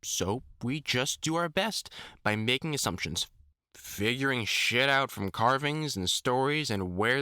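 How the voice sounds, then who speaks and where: A man's voice: mid-range voice, sharp tone, no echo. A man playing an older, experienced vampire hunter in an audio roleplay, talking informally and reassuringly like a mentor, with dry jokes and mild swearing. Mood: thoughtful, explaining. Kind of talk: intimate one-sided audio roleplay, conversational acting.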